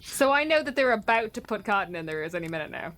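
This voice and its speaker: A woman's voice: medium pitch, big pitch swings, fast talker, fairly bright tone. A woman playing a tabletop game, chatting casually with dry, teasing remarks. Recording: faint background noise and ambience.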